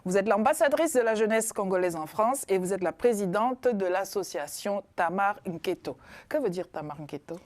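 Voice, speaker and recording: A woman's voice: medium pitch, expressive, normal speed, a little mellow. A woman hosting a TV talk show, introducing guests with formal, theatrical flourishes.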